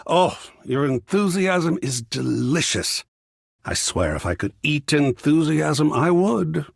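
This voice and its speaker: A man voicing a whimsical, effusive video game character who is art itself, raspy and gravelly, speaking in a grandiose, florid, theatrical way. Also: fairly high pitch, very animated, slow, no room sound, studio quality, exaggerated voice. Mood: delighted. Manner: grandiose, florid, savoring words with dramatic swoops. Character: flamboyant, whimsical living embodiment of art, effusive and philosophical.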